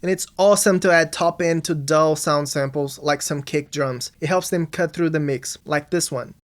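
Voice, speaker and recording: A music production tutorial host narrating a scripted lesson, explaining things clearly step by step. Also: mid-range voice, normal speed.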